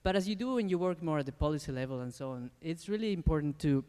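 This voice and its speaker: A man from Ecuador speaking on a conference panel, thoughtful and reflective, pausing, restarting and laughing lightly. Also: a little high, animated, dark tone, smooth. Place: conference hall. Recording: long reverb of a large hall.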